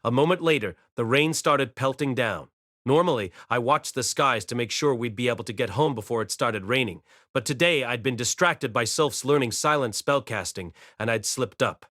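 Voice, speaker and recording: A man's voice: medium pitch, normal speed, a bit bright, dry recording, studio quality, close to an everyday voice. A man playing a wry, self-deprecating reincarnated boy mage, narrating his own life in a conversational audiobook style. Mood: wry narration. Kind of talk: first-person audiobook narration with acted dialogue.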